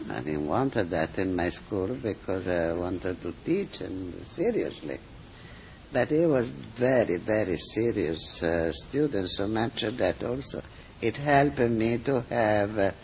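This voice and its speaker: An older man with an Italian accent, a cooking teacher telling stories in an interview, halting and full of fillers and restarts. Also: mid-range voice, darkish tone, slight background noise. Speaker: cooking school teacher, guest. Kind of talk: interview answers, storytelling. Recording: slightly dull, muffled recording.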